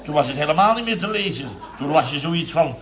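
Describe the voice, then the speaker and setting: An actor playing a blustering, aggrieved building contractor boss, telling of his mishaps with indignant, drawn-out emphasis in 1970s radio comedy style. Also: fairly high pitch, lively intonation, crowd noise, close to an everyday voice. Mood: exasperated. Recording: dull old radio recording.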